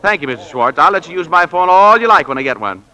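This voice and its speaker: An eager young male clerk in vintage radio style, bright and fairly high, with an animated, swinging delivery. He is earnest and excitable. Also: quick pace, poor audio. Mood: elated. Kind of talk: 1940s radio acting. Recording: vintage 1940s radio broadcast.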